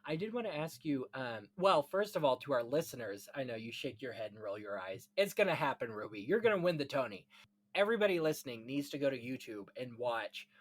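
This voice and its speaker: A man's voice: mid-range voice, sing-song, fairly quick. A man hosting a podcast in a casual film-history chat, talking in an effusive, gushing way. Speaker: podcast host.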